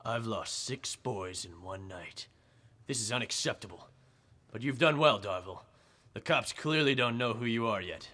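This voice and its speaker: A man playing a scheming, commanding gang boss with deliberate delivery in an old-time radio crime drama. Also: on the low side, lively intonation, sharp tone. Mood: cold menace. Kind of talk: 1940s radio acting.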